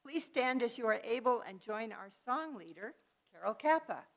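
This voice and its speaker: A woman leading a worship service, reading and announcing in a measured, ceremonial way. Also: a little high, lively intonation. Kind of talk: liturgical reading and announcements to a congregation.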